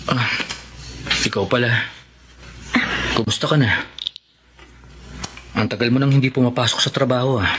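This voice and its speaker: A man's voice: mid-range voice, normal speed, long pauses, noisy background, lightly acted. An actor playing an earnest, lovesick and jealous young man in a Tagalog radio drama. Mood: suspicious. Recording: loud music bed under voice.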